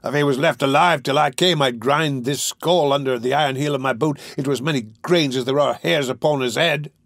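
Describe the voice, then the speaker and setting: A man voicing a violent, hulking housebreaker in a Victorian storybook, with a blunt, rough, threatening manner. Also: mid-range voice, moderate pace, fairly bright tone, no room sound, studio quality. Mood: savage threatening. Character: brutish, huge, violent male housebreaker, impatient and threatening. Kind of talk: dramatised audiobook of a Victorian novel.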